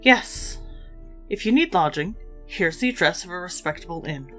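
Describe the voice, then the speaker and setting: A woman's voice: lowish voice, expressive, normal speed, fairly bright tone, soft background music. Woman playing a guarded, formal proprietress. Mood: firm, formal. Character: guarded, stern female expedition-house proprietor. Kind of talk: dramatized audio story acting.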